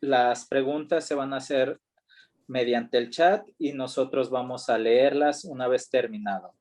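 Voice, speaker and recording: A man's voice: medium pitch, moderate pace, darkish tone. A man moderating an academic panel, making formal introductions and carefully reading a speaker's biography aloud over a video call.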